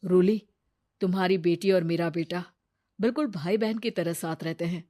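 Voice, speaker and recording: Gentle cartoon single father, played by a woman: grateful, responsible, earnest, measured delivery. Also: fairly low pitch, steady tone, natural voice. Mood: tender. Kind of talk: Hindi cartoon moral story dialogue.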